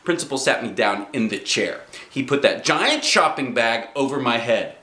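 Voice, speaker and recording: Animated man reading a storybook aloud. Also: medium pitch, moderate pace, roomy sound. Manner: expressive, dramatic phrasing. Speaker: dad reading children's books aloud.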